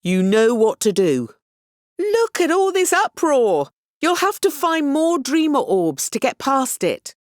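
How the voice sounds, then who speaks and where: An actress playing an encouraging, wry mentor in a video game, expressive and instructive as she guides a young hero. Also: high voice, takes it slowly, no echo, studio quality, exaggerated voice. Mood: urgent. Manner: expressive, instructive, gently apologetic. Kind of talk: scripted video game character lines.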